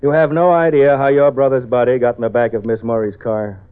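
An actor playing a brisk, businesslike police detective with a curt, probing manner in a vintage radio drama. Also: male voice, mid-range voice, fairly even intonation, clean tone, lightly acted. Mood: official, dry. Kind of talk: 1940s-50s radio drama acting. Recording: tinny old radio recording, faint music.

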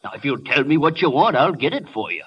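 An actor playing a nosy, talkative neighborhood butcher with a folksy, chuckling manner, in a 1940s radio drama. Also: male voice, fairly high pitch, big pitch swings, quick pace, husky. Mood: folksy chatty. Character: chatty, nosy neighborhood butcher, middle-aged man. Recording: dull old radio broadcast.

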